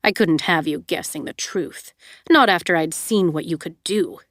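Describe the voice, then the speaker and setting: A woman voicing a remorseless male villain who speaks in a low, soothing, unnervingly calm voice. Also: moderate pace, no echo, clean studio sound, lightly acted. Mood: cold calm.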